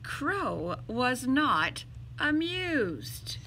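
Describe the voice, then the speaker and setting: A middle-aged woman doing an expressive, theatrical read-aloud of a picture book for young children. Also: sits high, very slow, a little hiss or hum. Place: small indoor room with bookshelves.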